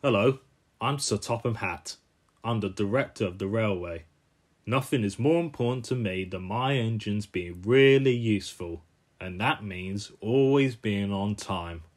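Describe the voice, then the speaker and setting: A man's voice: on the low side, unhurried. Guy doing a bossy formal railway boss from a kids cartoon, stern, takes his time. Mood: dignified. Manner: formal, authoritative. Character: stern, dignified railway director in a children's cartoon.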